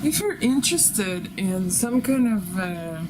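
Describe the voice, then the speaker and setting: A woman's voice: medium pitch, very animated, slow pace, noisy background. A woman from Russia with a Russian accent and Russian as her first language, chatting casually to camera as a vlogger in a rambling, giggly manner. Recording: music behind the voice. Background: background music.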